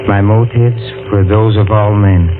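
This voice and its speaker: Casting: male actor as haunted male criminal, deliberate halting speech, tinny old radio sound with music. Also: lowish voice, steady tone, natural voice. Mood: grim, confessional. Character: tormented guilty man confessing his crime. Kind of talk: 1940s radio drama acting.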